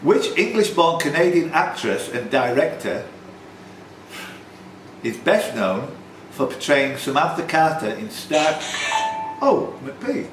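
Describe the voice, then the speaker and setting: A man's voice: fairly high pitch, sing-song, takes it slowly, faint music behind. A man hosting a pub quiz, reading out questions and scores informally, with chatty asides. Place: indoor pub. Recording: roomy pub recording with background noise.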